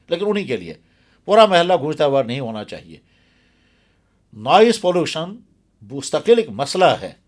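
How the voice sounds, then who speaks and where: Earnest male cleric, slightly high voice. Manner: earnest, emphatic, repeats phrases for stress. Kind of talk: explanatory religious talk in an interview.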